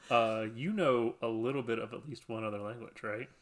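A man's voice: mid-range voice, a little fast. A man co-hosting a podcast, chatting casually in a rambling, self-deprecating way and laughing often. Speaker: podcast co-host. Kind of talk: casual chat.

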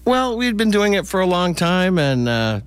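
A man's voice: high voice, big pitch swings, moderate pace, clean tone, no echo, faint music behind. A middle-aged or older man giving casual, anecdotal answers as a theater director being interviewed.

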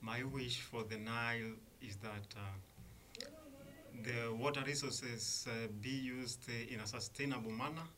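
A man's voice: medium pitch, steady tone, measured pace, bright, forceful tone. A man from Kenya, a freelance journalist, giving a hesitant, filler-heavy wish.

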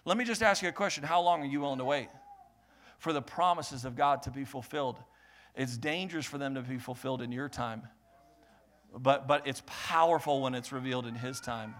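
A man preaching a sermon in a lively, joking way, swelling loud, then dropping to quiet teaching. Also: medium pitch, brisk. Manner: animated, jokey preaching with sudden loud swells and quiet stretches. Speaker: pastor.

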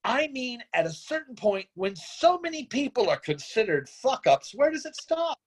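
A man's voice: extremely high pitch, sing-song, moderate pace, heavily acted. A man acting an idealistic, defensive drifter father in a screenplay reading, speechifying earnestly in a rambling way. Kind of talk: screenplay table-read acting.

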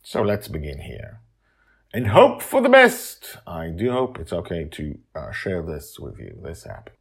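A man hosting a podcast as its author, speaking in a halting, candid and emphatic way with a husky voice. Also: low-pitched, huge pitch swings. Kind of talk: casual spoken introduction, then story narration.

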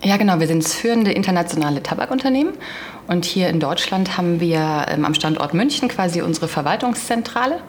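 A woman's voice: lowish voice, animated, brisk, a little hiss or hum. A woman executive answering questions as a podcast guest, sharing one microphone with the host. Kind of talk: interview answers. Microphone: shared single microphone.

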